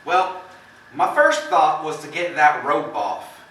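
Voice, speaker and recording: A man acting a plain-spoken farmer in an amateur stage play, telling his story in a folksy, halting way and dramatizing the quotes. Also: on the high side, expressive, a bit bright. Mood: shaken, animated. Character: plain-spoken neighboring farmer recounting a shocking visit. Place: theatre stage, indoors. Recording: echoey stage from far off, faint noise.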